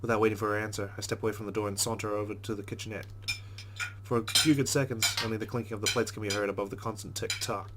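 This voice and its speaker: A man's voice: on the low side, little pitch movement, fast, heavy background noise. A man who hosts a let's play, reading story narration aloud in a wry, conversational way with casual asides.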